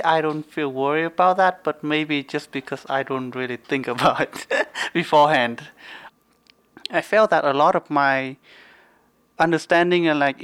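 A young man whose first language is Vietnamese speaks English softly and carefully. His voice is smooth and resonant, and the recording is dry. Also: on the high side, dry recording. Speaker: interviewee. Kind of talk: casual interview answers.

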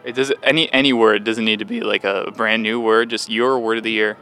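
A man asks passers-by poll questions in a friendly, prompting way. His voice is bright and forceful, with faint outdoor sound behind him. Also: on the low side, level tone, brisk, a little hiss or hum. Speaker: interviewer. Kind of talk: vox-pop questioning.

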